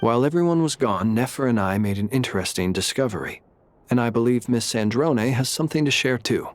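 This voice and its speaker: A man voicing a refined, courteous gentleman in an anime game, speaking politely and formally. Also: mid-range voice, lively intonation, fairly quick, lightly acted. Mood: polite, impressed.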